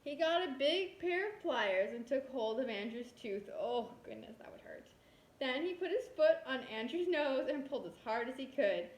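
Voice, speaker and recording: A woman's voice: sits high, lively intonation, moderate pace. A woman kindergarten teacher reading a storybook aloud expressively, talking directly to young children. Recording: roomy echo.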